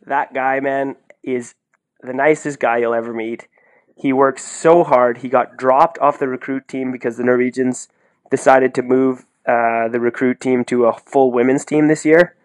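A man co-hosting a sports podcast, talking in an excitable, effusive, slangy way in casual commentary. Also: medium pitch, moderate pace.

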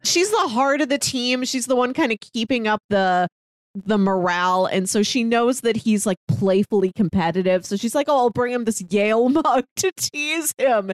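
A woman's voice: fairly high pitch, lively intonation, normal speed, no echo, studio quality. A woman co-hosting a podcast, casually discussing a movie in a chatty way and laughing easily as she talks. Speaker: podcast co-host.

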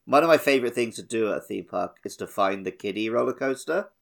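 A man's voice: on the low side, expressive, fast, creaky. A man co-hosting a gaming podcast in casual, joking conversation. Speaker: podcast co-host.

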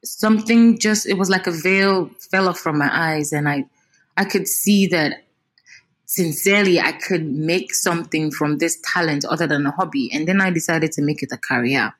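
A woman's voice: sits low, moderate pace. A Nigerian woman in her thirties, a singer talking expressively and animatedly in a casual interview. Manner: expressive, animated, repeats words while thinking.

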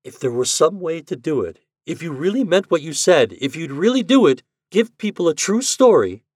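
A man voicing a bitter, sharp-tongued young woman who talks in a clipped, hard, mocking way. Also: on the high side, sing-song, moderate pace, dry recording, clean studio sound, pitched above the performer's own voice. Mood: eager.

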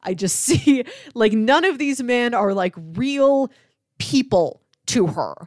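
A woman's voice: on the high side, expressive, takes it slowly, a little mellow, no room sound, studio quality. A woman co-hosting a podcast, casually analysing a film in an analytical way, with emphatic asides and paraphrases. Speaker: podcast co-host discussing a film.

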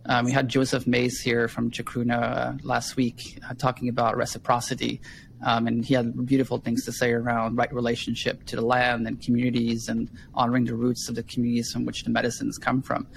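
A man's voice: medium pitch, completely flat delivery, fairly quick, vocal fry, slight background noise, rough recording. A man hosting a podcast interview over a video call, friendly and meandering, with frequent ums and restarts. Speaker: podcast host.

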